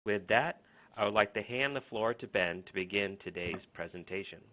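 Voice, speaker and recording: A man's voice: on the low side, normal speed. A man moderating a webinar, reading a formal scripted introduction and announcements. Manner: reads announcements in a steady formal tone. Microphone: online webinar connection. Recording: phone-like webinar audio.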